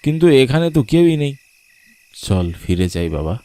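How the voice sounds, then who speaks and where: A man playing an uneasy, frightened father who narrates his own horror story dramatically, halting with fearful stammers. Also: mid-range voice, sing-song, warm tone, no room sound, close to an everyday voice. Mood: uneasy, puzzled.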